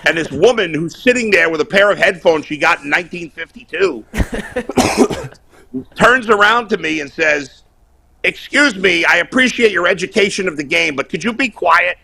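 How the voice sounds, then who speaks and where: Male sports panelist, opinionated and loud, animated delivery, slightly high pitch, informal remote call-in. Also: normal speed, gravelly, husky, rough recording. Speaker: sports talk show panelist calling in from vacation. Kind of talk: casual sports banter and opinion. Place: remote video call while on vacation.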